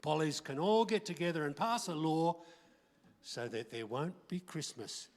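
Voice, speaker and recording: A man's voice: a little high, very animated, darkish tone. A man playing an earnest, bumbling political schemer who stumbles through rhymed lines in a radio play. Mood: earnest, halting. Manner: halting, stumbling over words. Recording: echoey hall, live stage.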